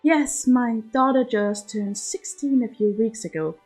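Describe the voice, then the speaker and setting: A woman's voice: a little high, measured pace, darkish tone, breathy edge, soft background music. A woman voicing a gracious, shrewd middle-aged countess, a proud mother, in a genteel manner for an audiobook story. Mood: strained politeness.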